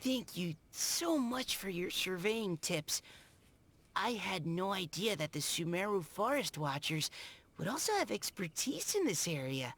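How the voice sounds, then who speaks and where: A woman's voice: on the low side, very animated, a little slow, fairly bright tone, slight background noise. A woman voicing a polite, eager and curious outdoor surveyor in a video game. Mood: grateful, admiring. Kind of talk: video game character acting.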